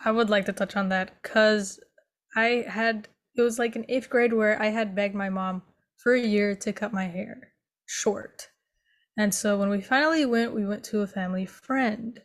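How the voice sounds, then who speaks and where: Steady young woman, plain storytelling. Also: mid-range voice, moderate pace. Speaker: panel speaker. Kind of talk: casual personal storytelling.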